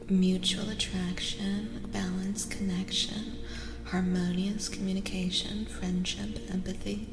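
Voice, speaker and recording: A woman streams a gentle, soft-spoken tarot reading in a casual ASMR style. Her unhurried voice often sinks into a whisper and carries some creak. Long reverb surrounds her, and music plays clearly behind. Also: mid-range voice.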